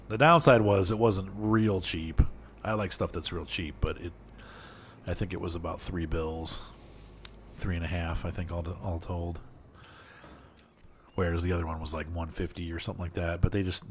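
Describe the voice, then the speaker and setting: A man's voice: low voice, fairly even intonation, normal speed, a little hiss or hum. A man chatting casually and rambling to viewers while playing a farming game, laughing often. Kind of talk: casual gameplay chat.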